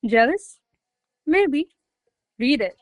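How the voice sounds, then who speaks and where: A woman acts out a jealous, imperious lady of rank in a classic radio play. Her voice is on the high side, and she orders and questions with haughty formality. The sound is close and dry. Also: sing-song, extremely slow, dry recording, heavily acted. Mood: commanding, probing. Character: imperious, jealous noble lady, probing and commanding. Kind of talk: stage verse drama read as radio play.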